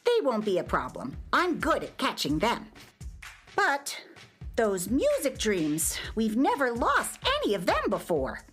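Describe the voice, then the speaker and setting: A woman's voice: a little high, wildly swooping pitch, unhurried, soft background music. A woman playing the eager first mate of a flying dream ship in a children's radio play, theatrical and earnest. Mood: pondering.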